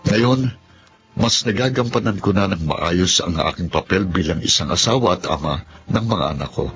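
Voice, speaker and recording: A man playing an earnest, apologetic husband and father in a radio drama, speaking in a measured way. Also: sits low. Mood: grateful. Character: remorseful husband and father, formerly mother-dependent, earnest. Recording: dull lo-fi audio under loud music. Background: loud dramatic music.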